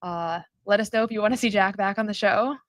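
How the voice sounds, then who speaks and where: A woman's voice: mid-range voice, quick pace, no echo, studio quality. Female host, casual postgame chat, rambly with lots of ums. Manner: chatty, rambling with frequent fillers. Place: arena concourse at a summer league event.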